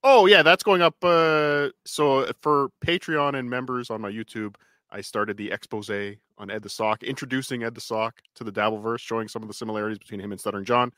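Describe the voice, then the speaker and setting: A man's voice: medium pitch, fairly even intonation, a little fast, pushed and bright, dry recording, clean studio sound. A man co-hosting a livestream, promoting his own videos in blunt, casual talk with some swearing. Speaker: livestream co-host and channel creator. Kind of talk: casual chat and self-promotion.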